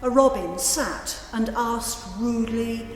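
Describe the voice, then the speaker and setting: Female narrator, extremely unhurried, deliberate literary delivery, reverberant hall acoustic. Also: on the high side. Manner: measured, deliberate phrasing. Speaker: narrator reciting literary prose in a cantata. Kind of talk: slow dramatic literary recitation. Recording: reverberant hall, music behind.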